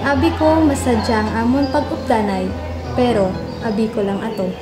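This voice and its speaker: Woman acting as young wife narrator, scripted radio drama, Western Visayan accent, roomy echo, loud music. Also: on the high side, moderate pace, natural voice. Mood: sorrowful reflection. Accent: Western Visayan Philippine. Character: young wife recounting her troubled marriage. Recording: echoey room under loud music.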